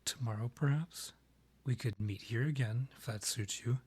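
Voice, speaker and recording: A man playing a courtly, lovestruck vampire king in a romantic ASMR roleplay, half-whispering in a breathy voice with formal, pleading phrasing. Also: medium pitch, moderate pace, darkish tone, close to an everyday voice. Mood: smitten, courting. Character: lovestruck male vampire king, courtly, yearning, tender suitor.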